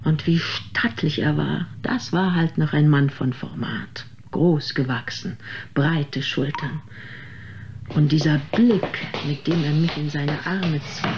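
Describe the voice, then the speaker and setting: An actress playing a vain, theatrical aging film star who complains haughtily and melodramatically in a radio play. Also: female voice, sits low, takes it slowly. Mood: nostalgic, swooning. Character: vain, theatrical, aging former film diva, easily outraged. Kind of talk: German radio drama acting. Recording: dull, noisy sound with ambience.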